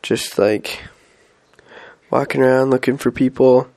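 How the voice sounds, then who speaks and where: A man's voice: mid-range voice, steady tone, measured pace, gravelly, darkish tone. A young man on a mission, talking casually through his week with many fillers and restarts while stuffy with a head cold. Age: young adult. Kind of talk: casual weekly update vlog.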